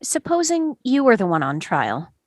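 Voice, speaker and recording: A woman playing a quiet, thoughtful, doubting man on a jury, reflective and reasoned, in an amateur table read. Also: fairly high pitch, huge pitch swings, moderate pace, no room sound, clean studio sound.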